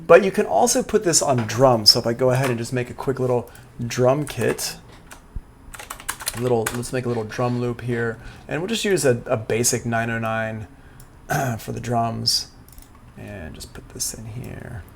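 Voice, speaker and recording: A man giving a casual, improvised live software tutorial as a music production instructor, thinking aloud hesitantly as he works. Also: mid-range voice, moderate pace, creaky, slight background noise. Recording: music playing behind the voice.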